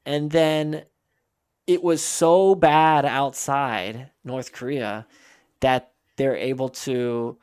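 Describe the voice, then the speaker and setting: A man's voice: medium pitch, fairly even intonation, very slow, a little mellow, clear, resonant tone, no room sound, clean studio sound. A man streaming a game and chatting casually with his viewers, answering their messages aloud in a rambling way with frequent restarts.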